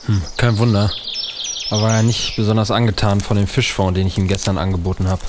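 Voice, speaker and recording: A man's voice: on the low side, little pitch movement, brisk, background noise, close to an everyday voice. A man playing a bewildered, grumbling podcaster on an adventure in a comedic audio drama, muttering colloquially. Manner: muttering to himself, colloquial, exasperated. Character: bewildered young male podcaster, grumbling, dazed adventure hero.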